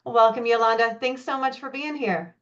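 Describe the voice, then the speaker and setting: Woman moderator reading a bio off a script, measured, muffled lo-fi call sound. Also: on the high side, fairly even intonation, a bit bright. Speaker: webinar moderator. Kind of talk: reading a formal speaker introduction. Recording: dull lo-fi call audio.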